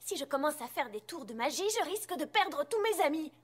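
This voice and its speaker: A woman's voice: high voice, expressive, a little fast. A woman voicing an earnest, modest but stubborn young female pony magician in a dubbed children's cartoon. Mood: worried, firm.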